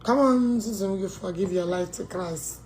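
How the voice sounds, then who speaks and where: A woman's voice: medium pitch, normal speed, a little mellow, a little hiss or hum. Scolding Nigerian woman live host. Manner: scolding, mixing English with Igbo. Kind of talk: live call-in chat.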